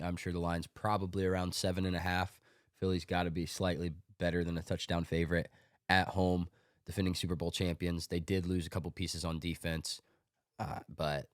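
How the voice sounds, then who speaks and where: Male podcast host, low voice, slightly congested, dry close sound, offhand joking read. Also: dry recording. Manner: offhand, joking, talks through his picks. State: congested from a cold. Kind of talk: casual sports podcast monologue.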